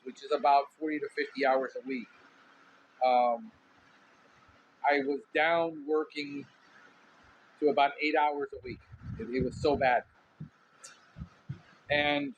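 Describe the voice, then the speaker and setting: A man's voice: on the high side, slight background noise, poor audio. A middle-aged man from Miami with a Cuban Miami accent, rambling candidly as a podcast host in a casual monologue. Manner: rambling, candid, lots of ums.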